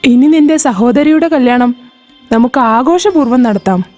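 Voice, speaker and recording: A woman voicing a caring older village mother who speaks gently and motherly, for an animated storybook. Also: sits high, moderate pace, soft background music.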